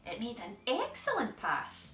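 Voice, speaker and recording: Expressive woman reading a storybook, slow and lively. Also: a little high. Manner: expressive, addressing young listeners. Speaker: storytime presenter. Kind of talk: children's storybook narration. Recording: echoey room, distant mic, music behind.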